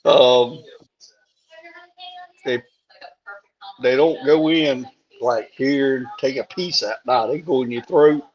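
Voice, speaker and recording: A man with a hoarse voice, chatting casually and plain-spoken on a live stream, laughing things off. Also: mid-range voice, slow, long pauses. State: hoarse after a throat tube. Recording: dull lo-fi call audio.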